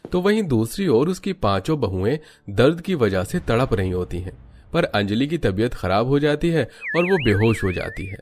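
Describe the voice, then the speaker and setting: A man's voice: mid-range voice, very animated, normal speed. A man narrating a scripted children's cartoon story.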